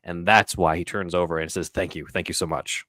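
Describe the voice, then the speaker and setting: Low-voiced man breaking down a film. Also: fairly even intonation, a little fast, bright, forceful tone, no echo, clean studio sound. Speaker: podcast host. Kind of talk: casual film breakdown, talking through scenes.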